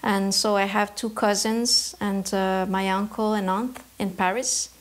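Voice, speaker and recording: A woman's voice: medium pitch, steady tone, a little slow. Thoughtful woman from Canada. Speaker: embroidery artist interviewee. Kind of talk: reflective interview answers.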